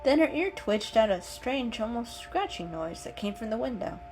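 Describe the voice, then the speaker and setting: A woman storyteller giving an expressive, unhurried dramatic reading of an animal story. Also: on the high side, soft background music.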